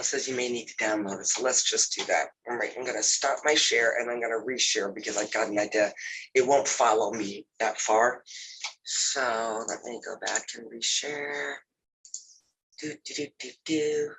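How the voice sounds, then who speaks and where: Male instructor with a cold, nasal and husky, loose and filler-heavy delivery, computer mic. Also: mid-range voice, rough recording. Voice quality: nasal, congested. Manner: relaxed, filler-heavy, says "you know" often. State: has a cold. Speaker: lab instructor. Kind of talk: casual recorded lab walkthrough over a video call.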